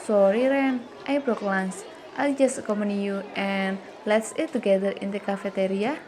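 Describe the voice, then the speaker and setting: A woman's voice: mid-range voice, moderate pace, a little mellow, lightly acted. A young woman playing an agreeable teenage schoolgirl in a student-made radio drama. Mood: apologetic. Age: young adult. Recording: noisy amateur recording, faint chatter.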